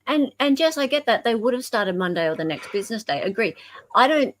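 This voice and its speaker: A woman with an Australian accent co-hosting a casual discussion of legal emails, explaining things in a halting, self-correcting way. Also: a little high, very animated. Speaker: podcast co-host.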